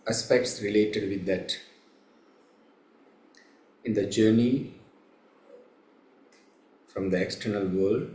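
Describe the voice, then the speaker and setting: Slow, low-voiced male meditation teacher, roomy sound. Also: a little mellow. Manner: measured, halting short phrases with long pauses. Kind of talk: slow guided meditation instruction. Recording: roomy, echoing room sound.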